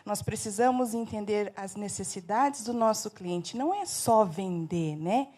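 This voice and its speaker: A woman's voice: mid-range voice, expressive, measured pace, mellow, slightly rough. A woman co-hosting an online panel who reflects on the guest's points. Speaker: co-host, sales manager. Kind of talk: panel discussion.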